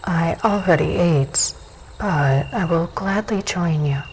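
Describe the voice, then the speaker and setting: A self-assured female dusk elf, acted by a woman with a lowish voice. She is composed and matter-of-fact, a probing host in a dark fantasy audio drama. Light ambient noise runs behind her. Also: slow pace. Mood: composed, hospitable.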